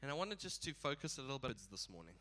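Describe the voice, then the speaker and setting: Male preacher with a head cold, nasal and bright, chatty with laughing asides. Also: mid-range voice, big pitch swings, fast. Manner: casual, chuckling asides. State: stuffy blocked nose. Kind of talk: sermon.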